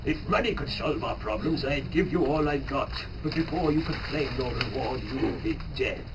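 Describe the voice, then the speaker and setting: A man's voice: medium pitch, moderate pace, lightly acted. A man playing a weary old villager who speaks in a heavy, solemn tone in a live manga reading, caught on a noisy distant recording. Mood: resigned. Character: weary old male villager with a grave warning.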